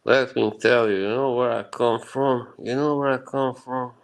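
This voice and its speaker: A man's voice: mid-range voice, steady tone, normal speed, low-quality recording. A man from Bosnia, rambling and reassuring as he chats casually with viewers on his livestream and chuckles often. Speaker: livestream host. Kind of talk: casual chat with live viewers.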